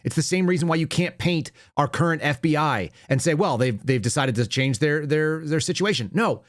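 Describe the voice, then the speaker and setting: A man's voice: on the high side, expressive, normal speed, no echo, clean studio sound. An American man giving opinionated, unscripted political commentary as a talk show host, blunt, conversational and emphatic.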